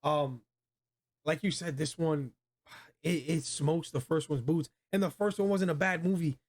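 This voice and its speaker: Higher-pitched man hosting a casual review. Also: measured pace. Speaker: video review host. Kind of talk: casual movie review chat.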